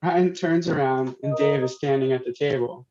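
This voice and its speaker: A man's voice: medium pitch, normal speed, dark tone. A man reading scripted stage directions as narration at a table read. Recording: muffled, dull video-stream audio.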